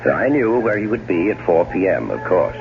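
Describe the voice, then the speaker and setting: Wry American schemer, deep voice, theatrical radio drama delivery, animated intonation, muffled noisy recording. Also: male voice, a little fast. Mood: smug, wry. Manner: sardonic, theatrical. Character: scheming, wry, well-off man plotting murder. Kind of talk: 1970s radio drama acting, first-person narration and dialogue.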